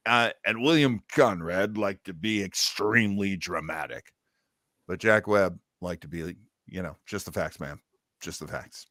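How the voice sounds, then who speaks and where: A man's voice: low voice, measured pace, sharp tone, no room sound, studio quality. A man hosting a show, chatting casually and explaining things in a jokey way. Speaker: host of an old radio show. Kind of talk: casual chat and commentary.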